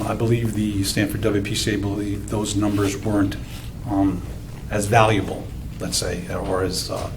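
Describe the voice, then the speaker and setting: A low-voiced man answers questions at a town meeting, measured and hedging, with plenty of ums. A noisy hiss runs under the recording. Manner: measured, hedging, with many fillers.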